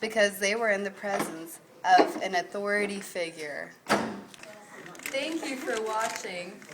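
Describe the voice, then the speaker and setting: Woman, medium pitch, scripted puppet show narrator. Slow delivery, noisy recording. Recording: clear background noise.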